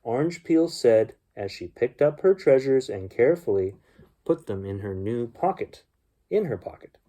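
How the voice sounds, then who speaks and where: A man hosting a children's bedtime story, reading aloud and chatting casually to young listeners in a gentle, homely way. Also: mid-range voice, expressive, normal speed.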